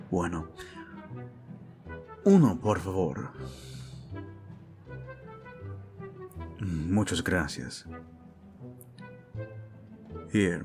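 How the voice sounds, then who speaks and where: A man playing a devoted, protective prince in a romantic audio roleplay, speaking intimately with formal phrasing, Spanish endearments and a husky voice. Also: on the low side, heavily acted. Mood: attentive, fond. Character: devoted, protective young prince, tender lover.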